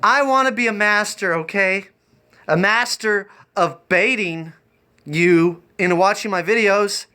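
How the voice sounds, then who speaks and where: A man chatting off the cuff on a livestream, rambling and halting in an eccentric way. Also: high voice, bright, forceful tone, smooth. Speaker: live streamer and variety performer. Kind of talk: improvised livestream chat.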